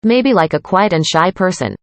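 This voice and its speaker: Woman, lower pitch, student part, scripted lesson, calm, dry. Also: moderate pace, no room sound. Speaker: student in a dialogue. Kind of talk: scripted conversation reading.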